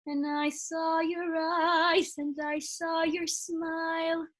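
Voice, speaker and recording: A woman singing the part of a dreamy young woman in love, from a musical, with soft high notes. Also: level tone, slow pace, heavily acted. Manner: sung softly, with rising high notes. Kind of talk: singing musical theatre lyrics.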